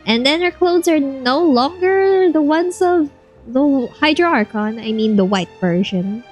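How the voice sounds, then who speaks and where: A woman streamer reacting casually to a video game. Her voice is on the high side and swings widely in pitch, with blunt, slangy wording. Quiet music plays behind her. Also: animated, a little slow.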